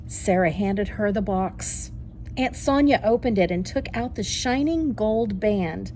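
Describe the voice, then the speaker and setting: A woman reading a children's story aloud in an expressive, deliberate teacher's way. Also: medium pitch, a little hiss or hum, low-quality recording.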